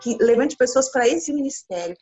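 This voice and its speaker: A woman's voice: high-pitched, normal speed, faint music behind. A Brazilian woman in her thirties, a missionary, talking earnestly in an interview over a video call from a small room. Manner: earnest, expressive with hand gestures. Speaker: missionary guest. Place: small room indoors, sitting beside her husband. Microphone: laptop camera mic on a video call. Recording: dull lo-fi video call audio.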